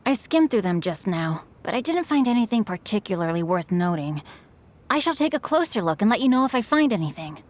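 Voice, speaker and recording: A woman's voice: medium pitch, very animated, a little fast, lightly acted. A woman playing a polite, helpful, orderly searcher in a video game. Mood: helpful, businesslike.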